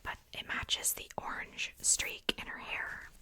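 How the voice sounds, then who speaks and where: A woman speaking in a breathy, unvoiced whisper in a casual ASMR show-and-tell style, hushed and informal. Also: unhurried. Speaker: ASMR video creator.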